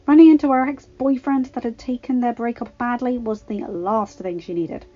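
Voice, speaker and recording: A woman's voice: a little high, normal speed, mellow, highs cut off. A woman reading fan fiction aloud as a scripted narration, now and then stumbling over a word. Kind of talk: scripted story narration with character voices.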